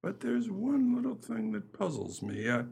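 Man playing a gravelly, husky, plodding detective. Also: sits high, wildly swooping pitch, moderate pace, darkish tone, heavily acted. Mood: puzzled. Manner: hesitant, methodical, polite. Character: plodding, deferential male police detective. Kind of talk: amateur radio play acting.

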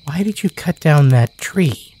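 A man's voice: on the high side, lively intonation, measured pace, warm tone, slightly rough, pitched above the performer's own voice. A man voicing an eerie, androgynous forest child who demands and probes in a horror story. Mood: demanding, eerie. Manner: demanding, probing questions.

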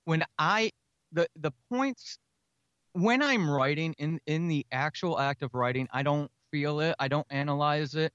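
A man talking as an interview guest, reflecting earnestly and self-critically on his craft in halting, explanatory phrases. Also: fairly high pitch, a bit bright, smooth, dry recording, lo-fi sound. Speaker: guest writer and podcaster.

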